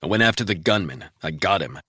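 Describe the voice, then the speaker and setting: A man's voice: low voice, lively intonation, fairly quick, sharp tone, husky, no room sound, clean studio sound, full character voice. A man doing a terse, cold male agent.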